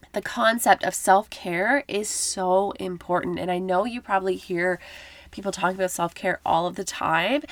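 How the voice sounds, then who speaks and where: A woman's voice: medium pitch, no echo. A woman in her thirties from Canada hosting a podcast, talking casually and encouragingly about self-care, a little nasal from a cold. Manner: warm encouraging self-help talk with filler likes. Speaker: podcast host.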